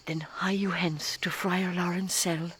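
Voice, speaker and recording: Slow, fussy, weary old nurse. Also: female voice, lowish voice, steady tone, a bit bright, slight background noise. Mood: sly, fond. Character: complaining, teasing old female nurse, weary, earthy and fond. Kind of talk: classical Shakespearean audio drama acting.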